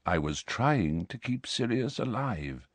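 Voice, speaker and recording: A man voicing a calm, weary, wise old wizard headmaster in an audiobook, speaking quietly and with measured gravity. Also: lowish voice, no room sound. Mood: quiet, firm. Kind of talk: dramatic audiobook character reading.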